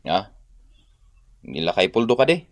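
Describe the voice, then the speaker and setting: A man with an Ilocano accent playing a calm, placating cousin in a radio drama. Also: on the low side, measured pace, no room sound, studio quality. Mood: subdued, conversational. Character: calm peacemaking male cousin back from prison.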